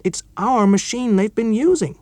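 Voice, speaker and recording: A man's voice: high-pitched, expressive, moderate pace, mellow, dry recording, pitched above the performer's own voice. A man reading a story, voicing an urgent, nervous young male technician in a raised, jumpy voice.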